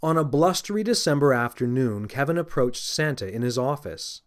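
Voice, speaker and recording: Expressive male children's storybook narrator. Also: medium pitch, moderate pace. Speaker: author reading own children's audiobook.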